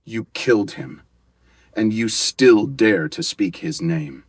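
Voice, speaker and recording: A man voicing a hardened, vengeful female officer in a crime story, husky and terse. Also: on the low side, animated, slow, no echo, clean studio sound, exaggerated voice. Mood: bitter anger. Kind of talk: dramatic crime audio story dialogue.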